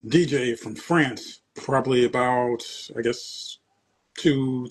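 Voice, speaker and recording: A man hosting a casual interview, putting his questions in a halting way and rephrasing as he goes. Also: medium pitch, fairly even intonation, breathy edge, low-quality recording. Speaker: interview host.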